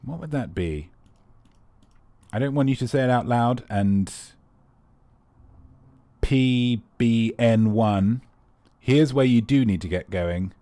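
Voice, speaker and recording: A man's voice: mid-range voice, slow pace, pauses often, dark tone, no echo. A man hosting a live comedy quiz, rambling and playful with teasing asides to the players. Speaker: quiz host.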